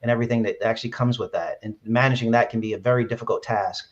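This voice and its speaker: A man's voice: mid-range voice, steady tone, very fast. Man from Brooklyn, app founder, earnest, lots of ums, dull lo-fi recording. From Brooklyn, New York. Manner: earnest, with frequent fillers and restarts. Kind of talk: podcast interview answers. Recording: dull lo-fi sound.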